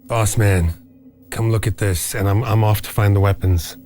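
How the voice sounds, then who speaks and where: A man's voice: on the low side, fairly even intonation, normal speed, a little mellow. A man playing a tabletop roleplaying game, speaking casually and partly in character.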